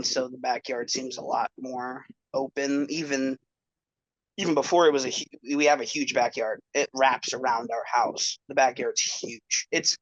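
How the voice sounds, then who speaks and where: A man's voice: mid-range voice, fairly bright tone, no room sound, low-quality recording. A man from Northern California chatting on a podcast, rambling with lots of fillers and restarts. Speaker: podcast co-host. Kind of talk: casual chat.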